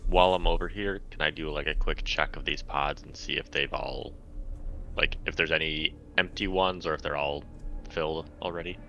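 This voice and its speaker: Very deep, gravelly male game player. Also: fairly even intonation, normal speed, bright, forceful tone, a little hiss or hum, rough recording. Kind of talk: casual in-game talk.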